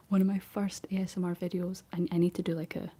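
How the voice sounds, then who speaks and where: Whispering Scottish woman, breathy and soft. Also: on the low side, little pitch movement, a little fast. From Scotland. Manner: rambling, chatty, giggly. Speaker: ASMR creator. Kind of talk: whispered ASMR chat.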